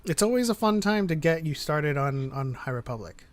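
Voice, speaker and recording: A man hosting a live stream, chatting casually and reading out comments in a halting, easygoing way. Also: a little high, expressive, a crowd in the background. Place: online group live stream. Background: background music.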